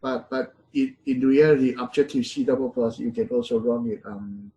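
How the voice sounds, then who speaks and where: Middle-aged male professor, husky, medium pitch, hesitant with lots of "uh". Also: mellow, rough recording. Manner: halting, many fillers and self-corrections. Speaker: university lecturer. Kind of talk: classroom lecture.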